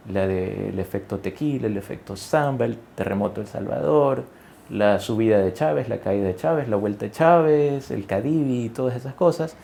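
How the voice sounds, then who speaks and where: Gravelly low-voiced man, chatty executive. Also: dark tone. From Ecuador. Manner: conversational, many fillers and self-corrections, tag questions. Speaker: guest executive. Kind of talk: interview conversation.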